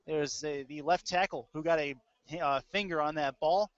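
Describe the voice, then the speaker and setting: A man's voice: a little high, normal speed, dry recording. A man calling live American football play-by-play in a conversational way, as a sports announcer. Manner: conversational between plays. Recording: dull lo-fi audio stream.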